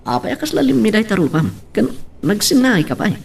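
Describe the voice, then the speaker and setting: A man acting a cheerful, excited young husband and expectant father in an Ilocano radio-style drama. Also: sits high, a little fast, gravelly, slightly rough, full character voice. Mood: happy, teasing.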